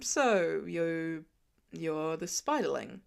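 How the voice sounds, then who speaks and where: A woman voicing a gruff, sarcastic grown man who mutters his dry remarks. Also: low-pitched, animated, slow, voice pushed down, heavily acted. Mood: wry.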